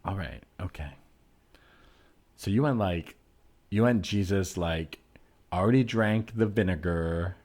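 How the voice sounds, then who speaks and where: A man improvising an earnest, easygoing tattooed nature enthusiast in a comedy sketch, talking haltingly and repeating words as he searches for phrasing. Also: sits low, a little mellow. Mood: puzzled, attentive.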